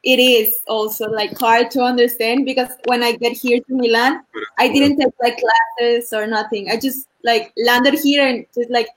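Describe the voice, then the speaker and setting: A woman telling a story to the group in an excited, loud way. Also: fairly high pitch, moderate pace, low-quality recording. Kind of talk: casual group chat.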